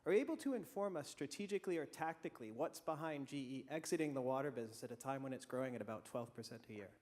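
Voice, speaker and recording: A man in the audience asking a pointed question at a conference, loud and self-assured. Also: mid-range voice, lively intonation, very fast. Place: large conference hall.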